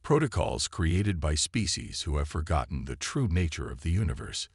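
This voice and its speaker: A man's voice: rumbling low, very animated, normal speed, husky, no room sound, clean studio sound, full character voice. A man voicing an aggressive, arrogant reptilian alien supreme commander in a sci-fi audiobook, with haughty proclamations. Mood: haughty, dismissive.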